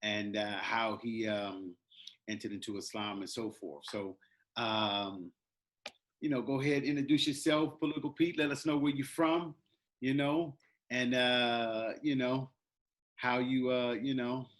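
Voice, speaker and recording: Halting middle-aged man hosting an interview. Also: mid-range voice, fairly even intonation, a bit bright. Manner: halting, many fillers. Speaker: interview host. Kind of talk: casual interview.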